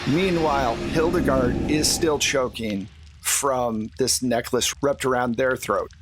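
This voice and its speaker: A man with a mid-pitched voice runs a tabletop game as its game master. He narrates casually and takes his time over the words. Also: takes it slowly, husky.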